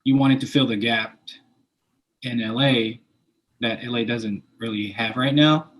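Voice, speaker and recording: A man hosting a casual interview, speaking in halting phrases with frequent pauses. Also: mid-range voice, fairly even intonation, fairly bright tone. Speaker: interview host.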